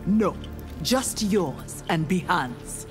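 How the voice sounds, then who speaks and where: A woman's voice: on the low side, expressive, drawn-out words. A woman playing a defiant, honorable female ninja warrior in a video game, speaking firmly and earnestly. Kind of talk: scripted video game dialogue. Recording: music behind the voice.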